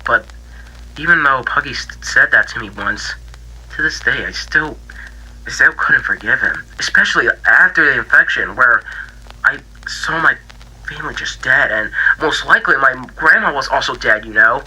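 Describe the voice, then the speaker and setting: A man voicing a bitter, grieving young pig character in a halting, stammering interview for an analog horror fan drama. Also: medium pitch, animated, pushed and bright, slightly rough, exaggerated voice, low-quality recording. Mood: bitter, vengeful. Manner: halting, stammering, repeats himself, trailing off. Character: grieving, bitter young male cartoon pig, infection survivor.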